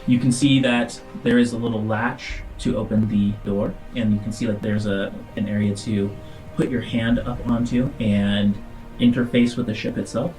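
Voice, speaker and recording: A man's voice: fairly low pitch, steady tone, darkish tone, low-quality recording. Man narrating a tabletop game over faint music. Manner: halting, descriptive, broken into short phrases. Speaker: game master.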